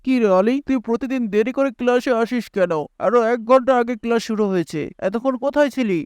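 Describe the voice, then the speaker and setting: A woman's voice: a little high, quick pace, darkish tone, no room sound, clean studio sound, close to an everyday voice. Stern, scolding schoolmaster played by a woman. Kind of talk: cartoon character acting.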